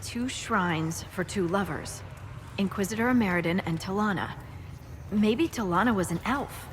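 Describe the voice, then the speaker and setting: A woman's voice: mid-range voice, normal speed, natural voice. An actress playing a practical, watchful female scout in a video game, speaking in a matter-of-fact way. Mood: thoughtful. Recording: reverberant space, faint ambient noise.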